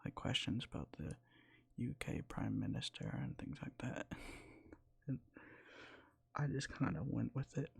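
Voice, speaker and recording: A young man whispering in a soft, rambling way close to the mic, sleepy and sometimes losing his words, for boyfriend roleplay ASMR. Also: mid-range voice, gravelly, husky. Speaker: ASMR creator.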